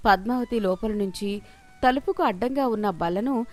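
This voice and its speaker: A woman's voice: medium pitch, moderate pace, faint music behind. A woman narrating a scripted moral story as its storyteller.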